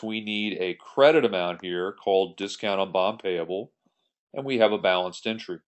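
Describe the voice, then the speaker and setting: Man, lowish pitch, accounting instructor, screencast tutorial, conversational, step-by-step explaining. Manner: step-by-step, conversational, poses questions then answers them. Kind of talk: explanatory screencast tutorial, walking through a worked example. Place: voice-over on a screen recording.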